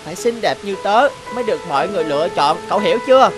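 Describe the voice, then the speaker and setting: A woman's voice: mid-range voice, expressive, rapid-fire, lightly acted. Woman doing a vain talking guava, boastful, sneering, children's cartoon, music behind. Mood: mocking. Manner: boastful, mocking. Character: vain, smug, sneering young smooth-skinned guava.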